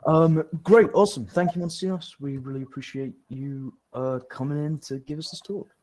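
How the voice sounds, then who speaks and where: Casual moderator on a video call. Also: male voice, a little high, steady tone, moderate pace, a little mellow, slight background noise, poor audio. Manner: casual, lots of fillers, repeats himself. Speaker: session moderator.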